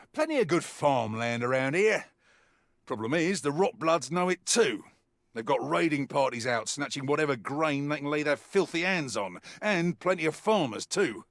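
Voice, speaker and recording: An actor playing a wry, world-weary mercenary soldier in a video game, with a colloquial, grumbling delivery. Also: male voice, a little high, animated, moderate pace, a bit bright, dry recording, studio quality. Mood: grim, determined. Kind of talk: scripted in-character mission briefing monologue.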